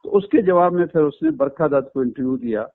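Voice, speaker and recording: A man from Pakistan giving a phone interview, explaining his account in a measured, earnest way with frequent hesitations. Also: fairly high pitch, expressive, no room sound. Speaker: interview guest. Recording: tinny phone line.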